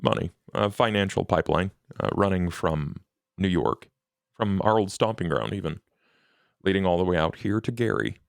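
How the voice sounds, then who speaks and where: A man improvising in-character dialogue in a tabletop game in a formal, deliberate, businesslike manner. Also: low voice, expressive, vocal fry, no room sound, studio quality. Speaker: player in a tabletop role-playing game.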